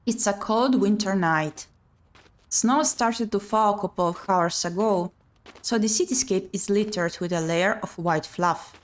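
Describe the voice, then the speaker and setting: A woman's voice: mid-range voice, measured pace. A woman playing a visual novel on her channel, reading the text aloud and adding chatty commentary. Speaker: video game streamer.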